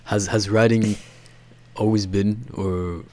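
A man's voice: on the low side, fairly even intonation, slow pace, a little mellow. A man interviewing, rephrasing his questions as he searches for the words.

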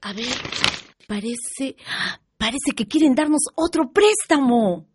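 A middle-aged Peruvian mother in a radio soap. She is a proud, industrious shopkeeper, affectionate yet brisk. Her voice sits a little high. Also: expressive, darkish tone, a little hiss or hum. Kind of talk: educational radio soap acting.

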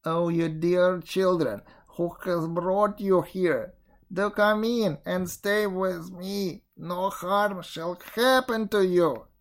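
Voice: medium pitch, slow, pitched above the performer's own voice. A storyteller playing a wicked, very old witch in a fairy tale, with a commanding, mocking manner. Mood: sugary coaxing. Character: wicked old witch, feigning kindness, cruel, bossy.

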